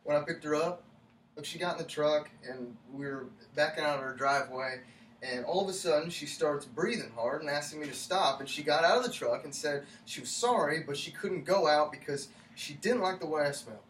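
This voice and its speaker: A man reading the part of a sheepish, defensive young man in a staged play, halting and repeating himself. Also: fairly high pitch, a bit bright, close to an everyday voice. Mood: sheepish, wounded. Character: Chad, hapless, sheepish young man defending his awful date. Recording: echoey room from far off, faint noise.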